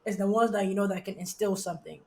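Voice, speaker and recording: A woman's voice: mid-range voice, fast, mellow, reverberant. A woman in her thirties from Nigeria with an American accent, a podcast guest talking in a casual, emphatic way and often checking "do you know what I mean". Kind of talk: casual chat.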